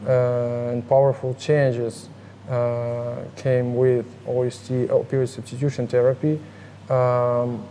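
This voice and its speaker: A man from Ukraine with a Ukrainian accent, answering interview questions in a halting way with many fillers and restarts. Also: mid-range voice, mellow, a little hiss or hum. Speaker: interviewee, harm reduction advocate.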